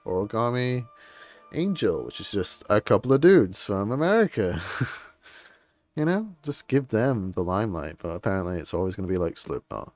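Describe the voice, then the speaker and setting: A man's voice: deep voice, darkish tone. A man streaming a game and chatting casually, rambling through his opinions in an informal, grumbling way.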